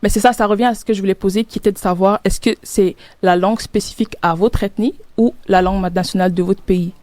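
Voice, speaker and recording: An upbeat young woman from Cameroon leads a relaxed panel chat, laughing easily and sometimes searching for the right word. Her mid-range voice sounds close and dry, with no room around it. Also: medium pitch, fast talker, dry recording. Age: young adult. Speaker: podcast host. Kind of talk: casual panel discussion.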